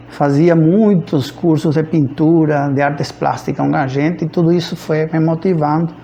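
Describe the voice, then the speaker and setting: Male professor, about 50, Cuban accent, Spanish native. Slightly high, creaky voice, informal storytelling with searching pauses. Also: darkish tone, slight background noise. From rural central Cuba. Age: around 50. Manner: hesitant, searching for words with frequent pauses. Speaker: physics professor. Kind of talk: informal autobiographical account.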